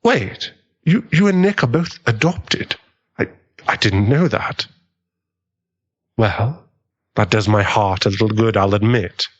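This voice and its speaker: A man playing an earnest, kindly government agent in an audio drama, with a measured, avuncular way of talking. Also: lowish voice, lively intonation, lo-fi sound. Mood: concerned.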